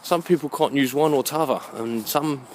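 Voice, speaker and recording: Musing man, noisy outdoor recording. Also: mid-range voice. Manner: musing, halting, self-questioning. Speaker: vlogger. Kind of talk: rambling walk-and-talk monologue. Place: outdoors, walking along a muddy alleyway.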